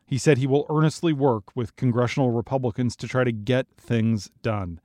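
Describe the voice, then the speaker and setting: An American man narrates scripted news as a podcast host. His voice sits a little high and is recorded very dry, with no room sound around it. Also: steady tone, moderate pace, a little mellow, dry recording.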